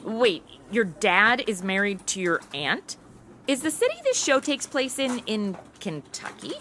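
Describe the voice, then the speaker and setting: A woman's voice: mid-range voice, big pitch swings, unhurried, a bit bright, slight background noise. A young woman playing a chatty, ditzy modern influencer who hosts and banters in a comedy audio drama. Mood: teasing, clueless. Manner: breezy, casual valley-girl slang. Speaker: host.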